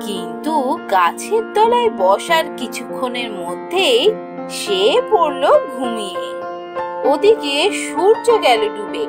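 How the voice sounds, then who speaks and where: A woman with a Bengali accent narrating a cartoon folk tale in an expressive storytelling manner. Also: on the high side.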